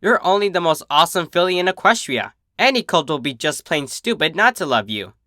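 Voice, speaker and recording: Guy playing a cocky big sister pegasus mare in a cartoon fan story, reassuring, bright n lively. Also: on the high side, fairly quick, no echo, clean studio sound, pitched above the performer's own voice. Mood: proud, warm. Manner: brash, casual, reassuring.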